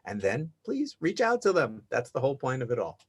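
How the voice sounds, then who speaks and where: A man's voice: mid-range voice, huge pitch swings, fairly quick, husky. A man with a mid-range voice acts as host of a networking video call. He talks casually, as an emcee would, passing the floor along.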